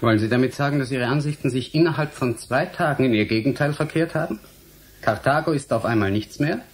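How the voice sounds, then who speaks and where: An actor playing a defiant, principled scientist and lecturer in a German radio play, speaking formally, firmly and in a measured way. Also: male voice, medium pitch, husky, close to an everyday voice. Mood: defiant, resolute.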